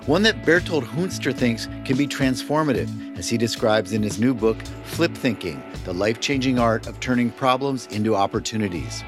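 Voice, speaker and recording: A male host reads a scripted introduction in a smooth, polished delivery. His voice sits at a middling pitch, and clear guitar music plays beneath him. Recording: music under the voice.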